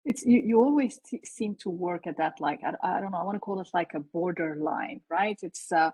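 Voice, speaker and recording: A woman professor moderating an interview, asking questions haltingly with many restarts. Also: on the low side, warm tone, breathy edge, no echo.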